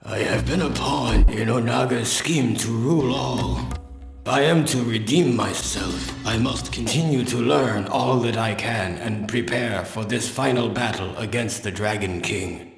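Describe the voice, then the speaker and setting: An actor playing an aging noble warrior in a video game, earnest and remorseful, speaking in a solemn, formal way. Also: male voice, medium pitch, unhurried, gravelly. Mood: remorseful, resolute. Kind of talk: dramatic game voice acting. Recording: long reverb, loud music behind.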